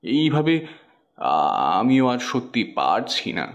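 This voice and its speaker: Man playing a kindly, very expressive village uncle. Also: medium pitch, slow pace, highs cut off. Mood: anguished. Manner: earnest, emotive. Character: kindly, pleading village snack-stall uncle. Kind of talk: cartoon horror story acting.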